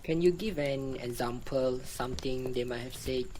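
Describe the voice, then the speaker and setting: A man's voice: mid-range voice, normal speed, a little mellow, slight background noise, lightly acted, poor audio. An actor playing a composed male psychiatrist in an amateur radio drama, asking polite, probing questions. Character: composed male psychiatrist leading group therapy, secretly fake.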